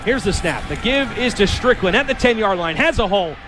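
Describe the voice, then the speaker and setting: Casting: American male radio play-by-play announcer, high-pitched voice, calling live action. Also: expressive, a little fast, crowd noise. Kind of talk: live sports commentary.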